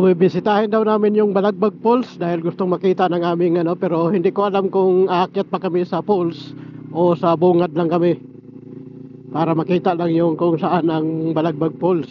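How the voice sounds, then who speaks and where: A man giving a casual vlog-style gear review in a halting, explanatory way, repeating key words. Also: high voice, muffled recording. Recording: clearly noisy amateur recording.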